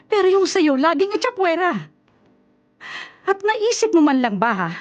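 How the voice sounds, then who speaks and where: A woman's voice: very high-pitched, very animated, normal speed, lo-fi sound. An actress playing an older, worried and caring Filipino mother in a radio drama, fussing and nagging at her grown son. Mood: reproachful, worried.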